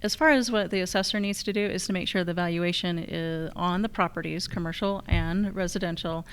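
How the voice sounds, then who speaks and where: A woman answering as a candidate at a public forum, in halting, loosely structured sentences. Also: lowish voice, fairly even intonation, a little hiss or hum. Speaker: challenger candidate for county assessor. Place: live public forum on a college campus.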